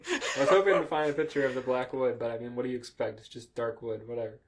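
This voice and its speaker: Male podcast co-host for comedic story read-aloud; medium pitch, informal and jokey. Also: steady tone, fast. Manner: laughs often, jokey, foul-mouthed. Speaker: podcast co-host reading fan fiction aloud.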